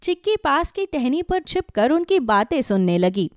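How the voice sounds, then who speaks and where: Animated female children's story narrator. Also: sits high, moderate pace, no echo, clean studio sound.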